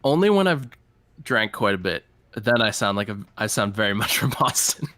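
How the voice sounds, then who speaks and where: A man's voice: fairly low pitch, big pitch swings, moderate pace, sharp tone. An American man from Massachusetts giving analytical live commentary on an esports match. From Massachusetts, United States. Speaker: esports caster.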